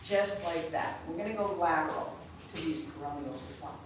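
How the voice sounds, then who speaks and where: A woman coaching a live mobility class, slightly raspy after a race, guiding each move step by step. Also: sits low, moderate pace, very noisy. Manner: relaxed, guiding students step by step. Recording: echoey room, far from the mic.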